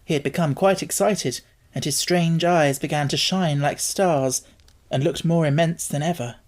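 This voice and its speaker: A woman's voice: low-pitched, moderate pace, a little mellow. A woman reading a scripted story as an audiobook narrator.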